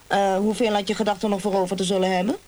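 A woman's voice: medium pitch, flat delivery, very fast, darkish tone, natural voice. An actress playing a coy, money-minded prostitute in a comic radio play, teasing and mock-prim as she bargains. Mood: mock-prim, bargaining.

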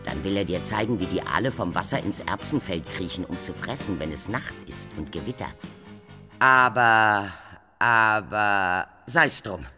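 A man's voice: fairly low pitch, lively intonation, a little slow, bright, forceful tone, faint music behind, heavily acted. An actor in a radio play as a mocking, gossipy messenger, teasing and theatrical as he tells his tales. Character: mocking, gossipy male bringer of messages at court.

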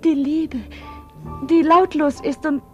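An actress playing a young variety dancer in love, soft, tender and yearning, in an intimate German radio play. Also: female voice, high-pitched, steady tone, takes it slowly, lo-fi sound. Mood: dreamy, yearning. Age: young adult. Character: dreamy, yearning 22-year-old variety-show snake dancer. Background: music.

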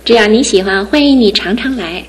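A patient young wife in a radio play. She speaks softly and calmly, her voice breathy and gentle, measured in every phrase. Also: fairly high pitch. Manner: soft-spoken, calm, gentle. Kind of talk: radio drama acting.

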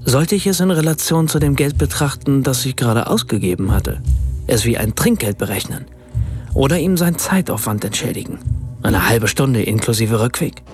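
A man's voice: medium pitch, big pitch swings, moderate pace, faint music behind, natural voice. A man narrating as a wry German writer. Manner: ironic, reflective. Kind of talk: scripted radio drama narration.

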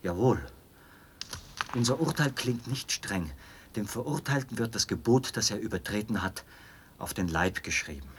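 An actor playing a zealous young penal colony officer and judge in a German radio drama, explaining in precise, formal standard German with a husky voice. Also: male voice, fairly low pitch, lively intonation, normal speed, a little hiss or hum, lightly acted. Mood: cold, self-assured.

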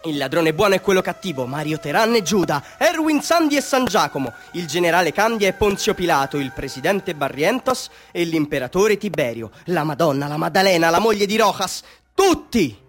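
An actor playing a bitter, half-mad village man telling his tale in a theatrical radio drama monologue, swinging between hushed confiding and fervent declaiming. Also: on the high side, lively intonation, brisk, soft background music, lightly acted. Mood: excited, fervent.

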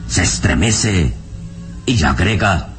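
A man's voice: sits low, lively intonation, takes it slowly. A man narrating a radio serial in a dramatic, scripted style. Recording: vintage radio serial with music behind.

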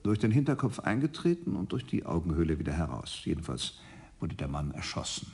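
An actor playing a precise lab expert in a radio crime play, reporting facts plainly. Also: male voice, deep voice, very animated, a little fast, vocal fry, darkish tone, heavily acted. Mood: factual. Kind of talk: 1980s German radio crime play acting.

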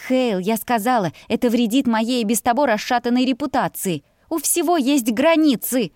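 A woman voicing a proud, fiery young heroine, sharp, scolding and defiant, in an audiobook story. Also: high-pitched, expressive, unhurried, dry recording, clean studio sound, voice pushed up. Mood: indignant.

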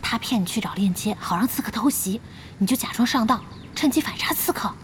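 Woman as a feisty, high-voiced young female gamer. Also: animated, a little hiss or hum, natural voice. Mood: curious, scolding. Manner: outspoken, quick to exclaim. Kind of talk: audio drama acting.

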